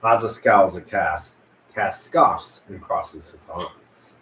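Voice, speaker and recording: A man's voice: deep voice, slow, fairly bright tone. A man narrating stage directions at a script table read. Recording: echoey room, faint noise.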